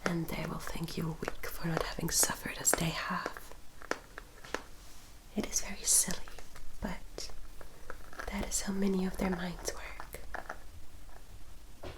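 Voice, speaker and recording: A woman playing a composed, caring vampire attendant in an ASMR roleplay, whispering softly and formally as she gives careful guidance like an older sister. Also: fairly low pitch, low-quality recording. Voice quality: breathy, soft whisper. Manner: slow, measured, formal, gently instructive.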